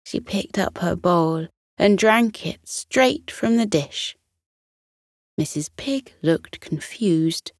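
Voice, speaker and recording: Woman, bedtime story narration, soothing, expressive pitch, very slow pace. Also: dry recording, clean studio sound. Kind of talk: scripted children's bedtime storytelling.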